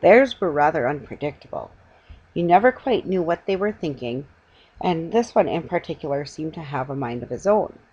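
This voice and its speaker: A middle-aged woman reading a children's story aloud at home, in a somewhat halting way. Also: deep voice, animated, darkish tone, lo-fi sound, slight background noise. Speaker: amateur storybook reader. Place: room at home, indoors.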